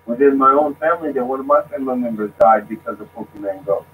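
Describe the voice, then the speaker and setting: A man speaking casually as a guest, halting and restarting his sentences. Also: medium pitch. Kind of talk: casual discussion. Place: lives abroad. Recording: tinny phone line with faint noise.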